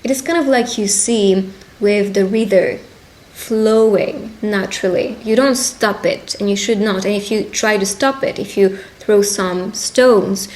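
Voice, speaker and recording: A woman speaking conversationally and encouragingly as a witch giving a personal love reading, pausing to interpret as she goes. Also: medium pitch, darkish tone. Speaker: tarot reader and roleplay video host. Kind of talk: intimate roleplay fortune-telling addressed to the listener.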